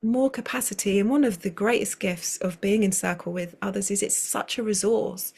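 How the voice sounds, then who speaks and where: A woman speaks as a guest over a video call, her pitch sitting in the middle range. Her talk flows on and rambles, with frequent restarts. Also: normal speed, creaky, a little mellow, breathy edge. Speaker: circle facilitator, guest. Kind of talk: conversational interview.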